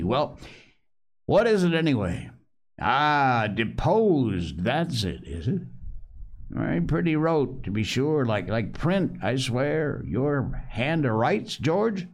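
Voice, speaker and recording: A sly, commanding old pirate captain, as a man puts him on, pitched high above a plain speaking voice and rolling out rough sailor dialect. His tone stays scornful and lively, swinging up and down as he talks. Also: full character voice. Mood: mocking. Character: older male pirate captain, cunning, commanding. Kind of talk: audiobook character reading.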